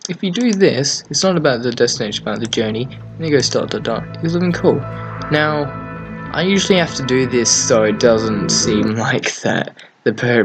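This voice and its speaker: A man casually hosting a software tutorial, thinking aloud in an offhand way as he works at his computer. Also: medium pitch. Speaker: tutorial host. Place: at a computer desk, screen recording.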